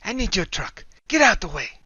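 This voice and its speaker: A man's voice: high-pitched, big pitch swings, moderate pace, pushed and bright, breathy edge, no room sound, lo-fi sound, voice pushed up, heavily acted. A man voicing a mysterious young woman who taunts and gives orders in a raised voice. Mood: commanding. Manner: commanding, taunting.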